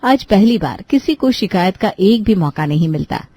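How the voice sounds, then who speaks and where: A woman narrates a children's moral story in a medium-pitched voice. The delivery is scripted storytelling, recorded very dry and close. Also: expressive, normal speed, mellow, dry recording.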